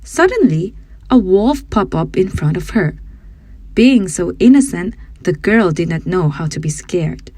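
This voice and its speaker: A woman's voice: lowish voice, animated, a little slow, darkish tone, breathy edge, dry recording. A woman reading a children's fairy tale as scripted audiobook narration.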